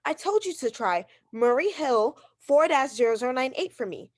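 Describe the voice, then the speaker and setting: A woman's voice: high voice, sing-song, normal speed. A woman acting a neurotic, demanding, haughty invalid wife in a radio mystery drama, agitated and insistent. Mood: exasperated, demanding.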